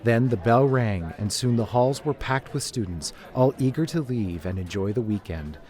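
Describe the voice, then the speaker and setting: A man's voice: mid-range voice, animated, normal speed, warm tone. A man reading scripted story narration as the narrator of an audio drama. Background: music.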